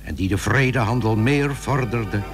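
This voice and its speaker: A man playing a solemn chronicler, narrating a historical radio drama deliberately from a script. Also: on the low side, faint music behind, natural voice. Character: solemn chronicler of old naval battles. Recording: old radio recording with faint hum.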